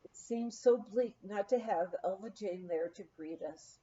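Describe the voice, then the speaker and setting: A woman's voice: medium pitch, unhurried. Woman reading a memoir, dull lo-fi sound. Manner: gentle storytelling, voicing family members in dialogue. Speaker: reader of a memoir. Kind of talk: audiobook-style memoir reading.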